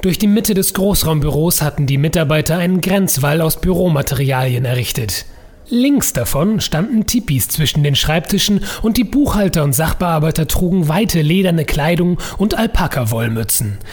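A man's voice: a little high, expressive, normal speed, darkish tone. A man reading scripted narration for an audio drama.

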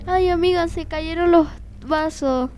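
A woman's voice: very high voice, unhurried, loud music behind the voice. A woman acting in an improvised radio play as a jittery young woman in a haunted house. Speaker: student actor in an improvisation exercise.

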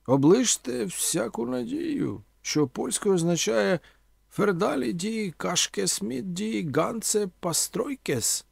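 A man's voice: high voice, animated, takes it slowly, vocal fry, husky, dry recording, clean studio sound, voice pushed up, exaggerated voice. A man voicing a pompous, sardonic, tipsy vagabond intellectual who declaims in bookish, mocking phrases. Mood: mocking, lofty.

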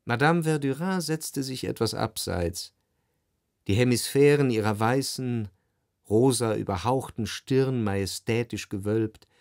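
An actor playing an urbane literary narrator with measured, dryly ironic radio drama delivery. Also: male voice, on the low side, dry recording, clean studio sound.

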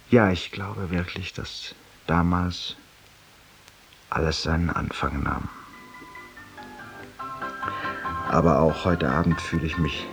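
An actor playing a vain, ironic, confessional man in a 1950s radio drama monologue, urbane and theatrical, addressing a silent companion. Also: low-pitched. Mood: weary, confiding. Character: vain, confessional, ironic male Parisian lawyer in exile. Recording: distant-sounding 1950s radio studio recording.

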